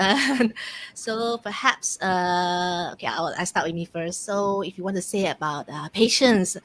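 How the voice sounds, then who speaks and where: Malaysian lady hosting a casual livestream, chatty, kinda halting, mid pitch. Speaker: host of a live question game, online mentor. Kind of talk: casual live-stream hosting.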